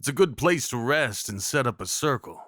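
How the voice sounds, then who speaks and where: A man's voice: mid-range voice, lively intonation, moderate pace, a bit bright, dry recording. Man playing a folksy Old West cowboy, close and dry. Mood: gentle, reassuring. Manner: folksy, reassuring, informal. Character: kindly rough-spoken Old West cowboy guide, protective and awkward. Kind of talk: intimate audio roleplay acting.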